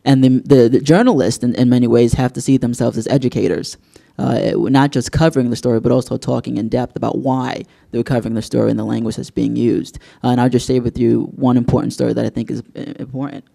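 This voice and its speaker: Journalist guy on a panel, gravelly low-key voice, earnest and measured. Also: steady tone, mellow. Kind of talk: panel discussion. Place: university event hall.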